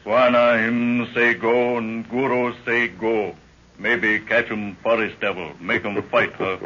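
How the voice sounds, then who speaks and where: Slow-talking loyal servant. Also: male voice, medium pitch, level tone, sharp tone. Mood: blunt, dutiful. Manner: broken pidgin, clipped phrases. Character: loyal native African servant, eager to fight. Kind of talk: 1930s radio drama acting. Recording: muffled old 1930s radio recording.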